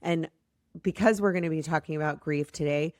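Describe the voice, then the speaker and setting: An American woman hosting a podcast in a chatty, rambling, self-deprecating way, her voice slightly raspy as it recovers from being lost. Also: sits low, a little fast, darkish tone, dry recording, clean studio sound. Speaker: podcast host.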